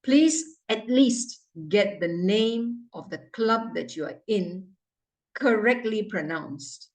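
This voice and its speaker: Malaysian lady scolding the club slowly, firm and emphatic, online meeting. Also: medium pitch, lively intonation, a little mellow, recorded from a distance. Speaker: language evaluator. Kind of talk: club meeting report on a video call.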